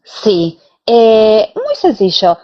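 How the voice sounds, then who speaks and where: A woman's voice: a little high, huge pitch swings, drawn-out words. Argentine woman, dull lo-fi audio. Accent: Argentine Spanish. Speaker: interview guest, pilates teacher and former dancer. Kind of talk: conversational interview answers. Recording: dull, lo-fi online call audio.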